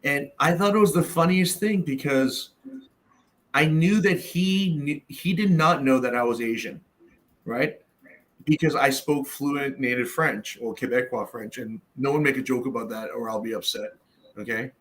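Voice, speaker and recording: A man's voice: medium pitch, normal speed, a bit bright, poor audio. A middle-aged man from Canada in a casual roundtable discussion, talking bluntly with plenty of swearing and fillers. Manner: blunt, profane, storytelling with many fillers.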